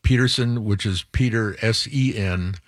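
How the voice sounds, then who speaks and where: A man's voice: lowish voice, a little slow, no echo. An older man speaking as an interview guest, rambling a little and correcting himself as he lists things. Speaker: museum executive guest. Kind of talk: interview conversation.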